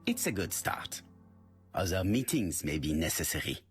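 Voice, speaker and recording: A man's voice: sits low, sing-song, moderate pace, slightly rough, faint music behind, exaggerated voice. A man voicing a courteous, self-assured middle-aged detective with a French-speaking Belgian accent, formal and precise. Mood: confident summing up. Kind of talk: video game dialogue.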